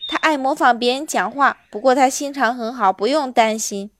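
A woman's voice: high voice, moderate pace, fairly bright tone, slight background noise. A woman voicing a polite, earnest little onion boy in a children's adventure story. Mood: kindly. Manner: courteous, earnest.

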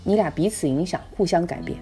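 A woman's voice: fairly low pitch, fast talker, dark tone, faint music behind, pitched below the performer's own voice, lightly acted. A woman acting a mysterious, bargaining fortune-teller in a comic radio play, speaking in terse, cryptic pronouncements. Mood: cryptic, calm.

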